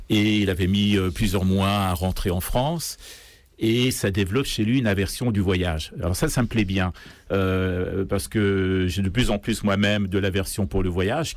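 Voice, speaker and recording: A man from Iran speaking hesitantly, with many pauses, as an unscripted guest in a radio interview. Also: sits low, a little mellow, no echo. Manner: hesitant, halting delivery full of pauses. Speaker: guest singer. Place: radio studio. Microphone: close studio microphone.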